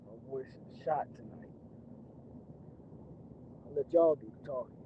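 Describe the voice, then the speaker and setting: A hoarse man whose voice is nearly gone, hosting a casual livestream reaction from a moving car and often clearing his throat and coughing. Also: mid-range voice, measured pace. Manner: keeps clearing throat and coughing, loose rambling talk. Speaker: channel host. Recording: tinny phone-line sound with faint car noise. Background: faint car and road noise.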